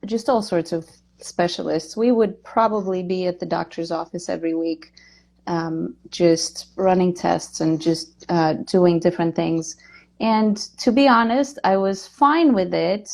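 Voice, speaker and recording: Soft woman, hesitant. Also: on the low side, measured pace, creaky. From Poland. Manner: hesitant, many fillers, soft-spoken. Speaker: guest, nutritional therapist and nurse. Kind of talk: interview chat.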